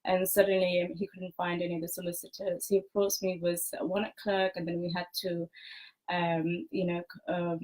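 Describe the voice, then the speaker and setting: A woman's voice: fairly low pitch, little pitch movement, moderate pace, darkish tone, poor audio. A middle-aged woman from Bangladesh, a solicitor being interviewed, speaking casually with many fillers and restarts.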